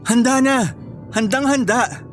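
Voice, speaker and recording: An actor playing a doting, teasing young boyfriend who is hopelessly smitten, in a Filipino radio drama. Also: male voice, extremely high pitch, takes it slowly. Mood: surprised, elated. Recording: faint music under dialogue.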